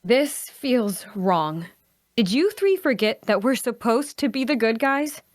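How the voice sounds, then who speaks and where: A woman playing a principled, soft-hearted adventurer with an earnest, hesitant manner in a fantasy audio drama. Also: fairly high pitch, expressive, slow, dry recording, studio quality. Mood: morally outraged.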